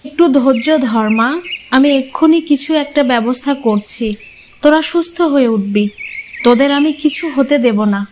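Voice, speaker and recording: A woman's voice: high voice, unhurried, a little mellow, a little hiss or hum. A woman voicing a long-suffering, sorrowful young mother in a cartoon fairy tale, earnest in manner. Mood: anguished.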